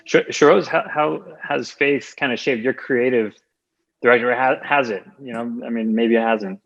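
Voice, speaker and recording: A man's voice: mid-range voice, normal speed, creaky, fairly bright tone. A man moderating a video call panel, friendly and stammering, often repeating words as he poses questions. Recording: slightly dull, muffled sound.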